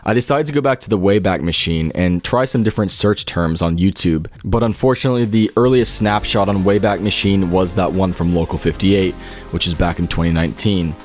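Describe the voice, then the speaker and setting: Low-voiced man narrating over music. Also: fairly quick, a little mellow. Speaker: video essay narrator. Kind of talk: scripted documentary-style narration. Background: background music.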